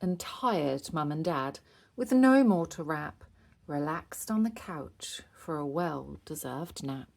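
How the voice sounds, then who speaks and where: A woman narrates a children's picture book in rhyme. Her delivery is unhurried and very animated, rising and falling with the story. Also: deep voice, gravelly. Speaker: storybook reader.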